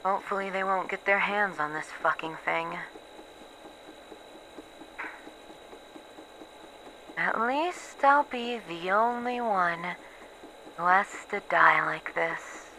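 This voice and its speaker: A weary, bitter female researcher played by a woman records a lonely log in a horror roleplay. She talks slowly and haltingly, with sighs and sardonic swearing. The voice is bright and mid-pitched, over faint background noise. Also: expressive, low-quality recording. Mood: resigned, bleak. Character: bitter, weary female researcher losing her memories, hiding alone. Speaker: voice actor in an audio roleplay. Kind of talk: ASMR horror roleplay monologue, acted audio log.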